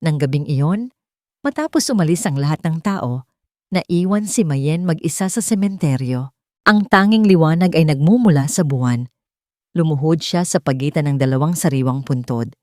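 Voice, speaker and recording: A woman's voice: low voice, expressive, moderate pace, darkish tone, no echo, studio quality. A woman reading a scripted dramatic story as its narrator.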